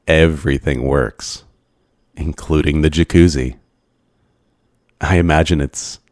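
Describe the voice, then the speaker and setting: A man performs an awkward, lovestruck young survivor in an ASMR boyfriend roleplay. His voice is extremely low and unhurried, with hesitant, soft-spoken phrasing and an obsessive devotion. The recording is close and dry. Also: no echo. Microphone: close microphone, dry sound.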